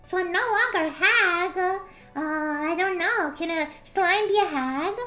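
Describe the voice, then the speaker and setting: A woman streamer with a pitch-raised squeaky voice filter, casually chatting and reading a story aloud, often clearing a scratchy throat. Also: measured pace, bright, forceful tone, soft background music. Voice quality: squeaky voice from a slime avatar filter. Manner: giggly, reads story text aloud with voiced quotes.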